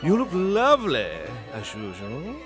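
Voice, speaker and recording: Man playing a gallant suitor, animated higher voice. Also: a little slow. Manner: flowery, gallant. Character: suave, courtly aristocratic suitor, flattering. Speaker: actor in an audio farce. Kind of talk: period romantic-comedy acting. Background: prominent music.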